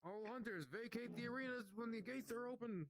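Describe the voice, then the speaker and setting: A man's voice: extremely high pitch, a little fast. A man acting as an arena announcer in a roleplay game, giving commanding, repeated orders to a crowd of players. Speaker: roleplay event announcer, hunter guild arena master.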